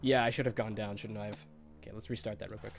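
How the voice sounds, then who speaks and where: A man's voice: on the low side, fairly even intonation, fast talker, gravelly, a little hiss or hum. A congested man with a cold giving chatty, nostalgic commentary while streaming a video game, laughing often.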